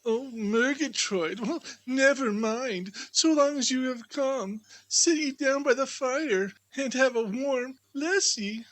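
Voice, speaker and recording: Man as a sharp-tongued storybook housewife, high voice, slow delivery, exclamatory, scolding. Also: heavily acted, poor audio. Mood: fussing, affectionate. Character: fussy, sharp-tongued motherly wife, Mrs Cratchit. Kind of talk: theatrical storybook reading.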